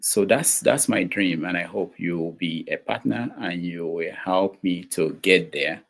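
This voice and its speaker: A man hosting an online talk show, speaking in a measured, reflective way with thoughtful pauses. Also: low voice, gravelly, fairly bright tone.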